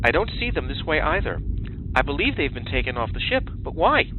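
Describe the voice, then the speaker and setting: A man's voice: fairly high pitch, sing-song, a little fast, narrow, tinny audio, noisy background. A man playing a rabbit ship's doctor. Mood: concerned. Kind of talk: audio drama acting.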